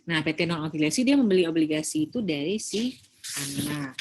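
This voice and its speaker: A woman's voice: deep voice, expressive, fairly quick, slight background noise. A woman with an Indonesian accent giving an informal recorded lecture, explaining step by step with English terms mixed in and frequent checking tags. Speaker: accounting lecturer.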